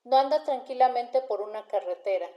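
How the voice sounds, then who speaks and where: A woman's voice: a little high, echoey room, highs cut off, natural voice. A woman voicing a defiant, wry male drifter who answers police questioning with sardonic retorts in an audiobook. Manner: sardonic, argumentative, unhurried retorts. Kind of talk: audiobook character dialogue.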